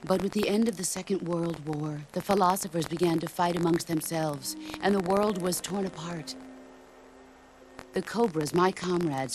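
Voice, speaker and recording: An actress playing a legendary middle-aged female soldier and mentor in a video game, speaking in a measured, grave, philosophical way. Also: on the low side, background noise, close to an everyday voice. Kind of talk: dramatic video game cutscene monologue.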